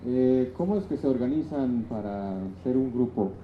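A man's voice: medium pitch, expressive, normal speed. A man asking polite, hesitant questions as an interviewer. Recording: dull, echoey, noisy space with crowd.

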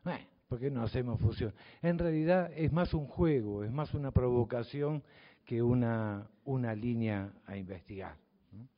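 A man's voice: medium pitch, normal speed. Older Southern Cone man in echoing hall. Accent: Southern Cone Spanish. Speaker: speaker, mathematics teacher. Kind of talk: public speech to an audience. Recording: dull audio from a reverberant hall.

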